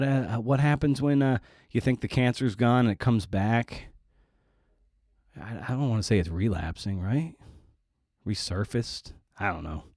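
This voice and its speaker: Low-voiced man, candid podcast monologue. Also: a little mellow, no echo, clean studio sound. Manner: self-deprecating, rambling, profane. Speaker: podcast host.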